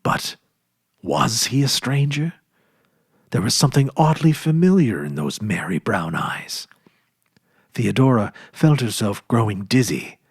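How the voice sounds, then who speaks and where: Husky man reading a classic story. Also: mid-range voice, very animated, measured pace, no echo, studio quality.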